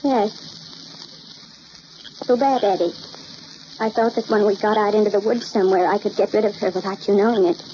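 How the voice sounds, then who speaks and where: An actress playing an elegant, refined widow in an old-time radio mystery, with theatrical delivery. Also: female voice, medium pitch, fairly even intonation, normal speed, long pauses, mellow, close to an everyday voice. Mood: cold, menacing. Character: elegant, soft-spoken widow, loving on the surface, secretly ruthless. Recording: dull, hissy old radio recording.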